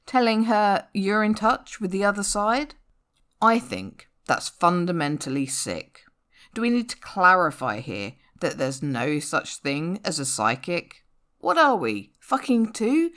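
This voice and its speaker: A woman performs spoken-word poetry at a measured, slow pace. Her delivery is sardonic and theatrical, swinging widely in pitch as she leans hard on key words and leaves deliberate pauses. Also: fairly bright tone. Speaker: poetry reader. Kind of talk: dramatic spoken-word performance of a comic rant poem.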